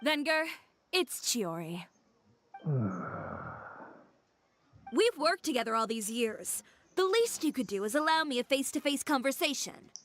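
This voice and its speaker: A woman's voice: high voice, sing-song, measured pace, long pauses, a bit bright, full character voice. A woman playing a proud, blunt young fashion designer in a video game, assertive and self-assured. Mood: firm, blunt.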